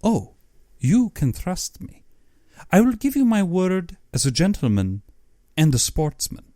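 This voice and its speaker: A man's voice: high-pitched, huge pitch swings, a little slow, mellow, dry recording, studio quality, voice pushed up, exaggerated voice. Man voicing a swooping, urbane villainous general. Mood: smooth, taunting. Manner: courtly, theatrical, savoring his words. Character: urbane, cultured aristocratic general, gleefully sinister big-game hunter.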